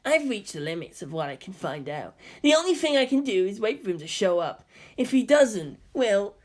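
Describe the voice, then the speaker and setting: A woman's voice: medium pitch, very animated, normal speed, a little mellow. A woman voicing a detached, philosophical teenage schoolboy in a fan fiction reading. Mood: detached, resigned.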